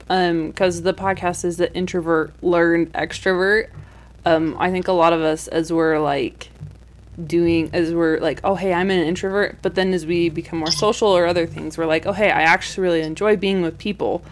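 A woman's voice: fairly low pitch, fairly even intonation, moderate pace. A woman hosting a podcast in casual conversation, chatty with many fillers. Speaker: podcast host.

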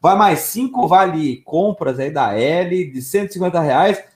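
A man from Brazil hosts a live stream with an upbeat, lively delivery. His voice sits high, and it rings in an echoey room. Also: a little slow.

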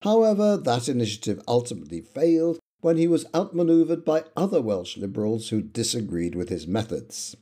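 A man narrates a history podcast from a script, his pitch rising and falling with lively emphasis. His tone is mellow and dark. There is a slight huskiness to it. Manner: measured, lively intonation, reading quotations.

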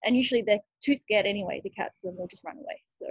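A woman with a slightly high, husky voice talks casually about her work, thinking aloud with frequent "like"s and restarts. The sound is dry and a little dull, as if from a lo-fi recording. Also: animated, fast, dry recording. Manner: chatty, thinks aloud with many likes and restarts. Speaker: rescue cat cafe owner. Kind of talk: casual interview answers.

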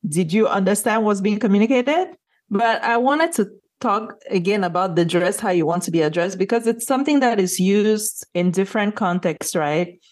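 A woman's voice: medium pitch, normal speed, darkish tone. A woman, a podcast co-host, talking casually in an earnest, opinionated way and building her points with rhetorical questions. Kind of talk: casual discussion.

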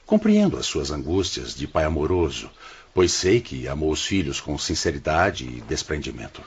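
A man's voice: rumbling low, very animated, normal speed, husky, full character voice. An actor playing a serene, humble older male spirit who directs an afterlife hospital in a religious radio drama, speaking solemn, formal, patient Brazilian Portuguese. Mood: kindly, compassionate.

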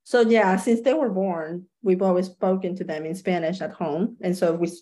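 A woman's voice: lowish voice, steady tone, normal speed, mellow. A woman from Chile, a podcast guest chatting casually, laughing easily and dropping Spanish words into her English. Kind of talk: casual interview chat.

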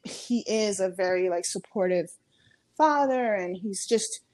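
A woman's voice: medium pitch, unhurried, a little mellow, no room sound. A woman in her thirties, from Ecuador, talking casually as an interview guest, with lots of fillers and restarts. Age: 30s. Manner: chatty, many fillers and restarts. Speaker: guest, comedian.

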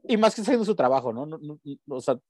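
A man's voice: fairly high pitch, sing-song, quick pace, no echo, studio quality. A young man with a Mexican accent, co-hosting a podcast in slangy, rambling casual chat. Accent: Mexican Spanish. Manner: slangy, rambling, breaks into bits of song. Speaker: podcast co-host.

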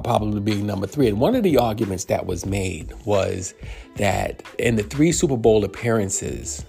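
A man's voice: fairly low pitch, vocal fry, a little mellow. A man in his fifties from New York, hosting a solo sports podcast and talking in a rambling way with many fillers and restarts. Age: 50s. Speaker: podcast host. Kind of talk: solo sports commentary monologue.

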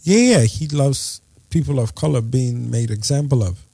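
A man's voice: medium pitch, normal speed, gravelly, dark tone, husky, no echo, studio quality. A man co-hosting a podcast, chatting casually in a laid-back, slangy, joking way. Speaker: podcast co-host. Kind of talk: casual chat.

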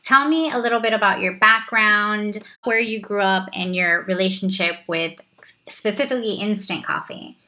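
A woman hosts a food podcast with a cheerful, enthusiastic delivery. Her voice is bright and clear, recorded close with no room sound at all. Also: medium pitch, moderate pace, no echo. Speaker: podcast host. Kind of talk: scripted intro then interview.

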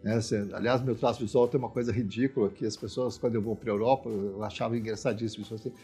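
A man's voice: lowish voice, steady tone, fast, mellow, husky, soft background music. A man with a Brazilian accent from Minas Gerais, explaining social issues informally in a reflective, emphatic way, often adding tag questions.

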